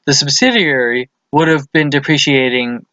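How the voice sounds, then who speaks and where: A man's voice: mid-range voice, moderate pace, sharp tone, dry recording, lo-fi sound. A man presents a lesson as scripted instructional narration, explaining things methodically step by step.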